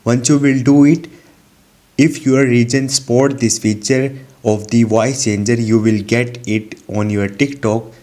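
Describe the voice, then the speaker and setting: A man presents a scripted how-to tutorial at a middle pitch. He gives instructions one step at a time, in non-native English phrasing. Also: flat delivery, a little slow, a little mellow. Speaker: tutorial host.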